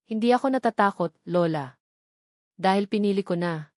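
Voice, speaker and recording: A woman's voice: medium pitch, moderate pace, dry recording, clean studio sound, natural voice. A woman voicing a resolute, devoted young man in a romance audio drama, speaking in a solemn, declarative way. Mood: firm, defiant. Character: resolute young man defying his family, devoted, earnest.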